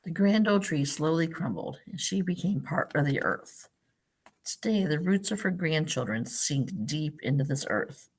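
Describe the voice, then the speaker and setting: A woman with a low voice and a noticeable creak reads a storybook aloud for young children. She addresses them directly, stepping out of the text to explain things simply and ask little questions, in the way of a teacher. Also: animated, normal speed, low-quality recording. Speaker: teacher reading a picture book to children.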